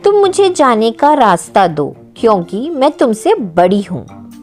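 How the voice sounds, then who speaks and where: A woman's voice: on the high side, big pitch swings, a little slow, darkish tone. A woman voicing a proud, stubborn female goat in a children's story, boastful and demanding. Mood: haughty. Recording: music behind the voice.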